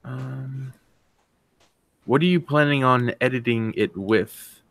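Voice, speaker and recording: A man's voice: medium pitch, slow, creaky, a bit bright, dry recording. A man chatting casually in a brainstorming session, hesitant and restating his points as he goes. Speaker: co-producer who makes music.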